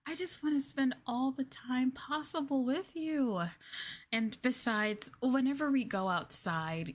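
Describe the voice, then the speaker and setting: A woman playing a clingy, sweetly possessive young girlfriend in an ASMR roleplay, with a coy, hesitant, coaxing delivery. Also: fairly high pitch. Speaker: streamer performing ASMR roleplay.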